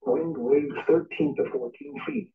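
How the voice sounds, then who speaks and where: A man's voice: fairly high pitch, big pitch swings, unhurried, vocal fry. A synthetic male announcer giving a formal, impersonal automated marine forecast readout over weather radio. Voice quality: synthetic, machine-generated. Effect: weather radio broadcast. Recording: dull distant radio in echoey room.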